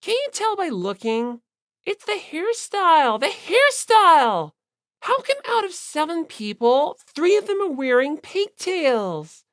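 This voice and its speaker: A man's voice: very high-pitched, sing-song, measured pace, fairly bright tone, voice pushed up, exaggerated voice. A man voicing a brash, hot-tempered teenage gyaru girl in an audiobook, blunt and snappy. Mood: irritated.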